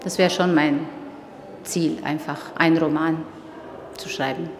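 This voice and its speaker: Woman, middle-aged, kinda low voice, hesitant thoughtful interview, big echoey hall, lots of background noise. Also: steady tone. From Tunisia. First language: Arabic. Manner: thoughtful, halting with frequent hesitations. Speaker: writer, interviewee. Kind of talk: reflective interview talk. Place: exhibition opening venue.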